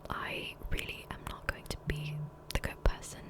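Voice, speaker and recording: A woman speaking in a soft, breathy whisper close to the microphone, rambling casually and self-deprecatingly as an ASMR gaming creator. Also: moderate pace. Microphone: close USB condenser microphone.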